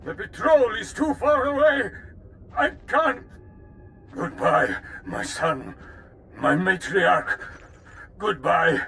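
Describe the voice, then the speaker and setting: An actor playing a terrified older pilgrim in a video game, pleading in a strained, gravelly voice. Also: male voice, high-pitched, animated, takes it slowly, pauses often, sharp tone, faint music behind, full character voice. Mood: despairing farewell. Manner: panicked, pleading.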